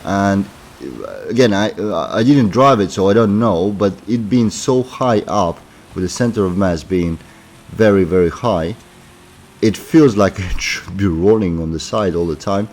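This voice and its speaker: A man's voice: deep voice, animated, unhurried, a little mellow. A man with a sore throat from a cold, giving casual commentary on his racing game as he plays, thinking aloud with many fillers. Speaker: gaming commentator.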